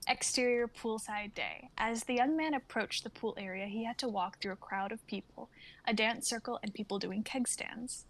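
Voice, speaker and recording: A young woman reads out script directions as part of a dramatic reading. Her voice sits a little higher than most women's, and she narrates the scenes as a performer would. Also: moderate pace, poor audio. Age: young adult. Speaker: reader of stage directions and actor in a script reading.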